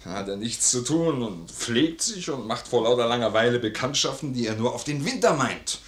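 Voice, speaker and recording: An actor playing a blunt, loyal, jovial army sergeant, plain-spoken and hearty, in a classical comedy radio play. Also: male voice, medium pitch, expressive, moderate pace, natural voice. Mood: hearty, boastful. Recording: roomy vintage 1950s radio recording.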